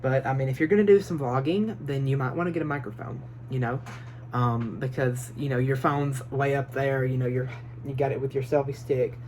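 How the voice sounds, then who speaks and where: A man giving advice in a casual phone vlog from his bedroom, talking nervously and quickly, rambling with lots of fillers and restarts. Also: medium pitch, steady tone, a little mellow, a little hiss or hum. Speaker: vlogger. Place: small bedroom. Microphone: phone built-in mic, no microphone.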